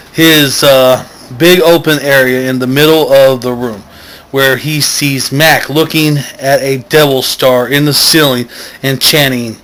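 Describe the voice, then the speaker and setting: A man's voice: mid-range voice, fairly bright tone, low-quality recording. A man telling a comic story as host, rambling, correcting himself and wandering off on digressions. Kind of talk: comic, loosely improvised story narration.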